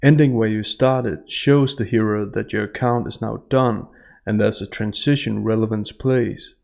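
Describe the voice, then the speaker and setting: A man giving scripted analytical narration in a measured, formal manner over instrumental music. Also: lowish voice, fairly even intonation, creaky, a little mellow. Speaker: host analyst of statements.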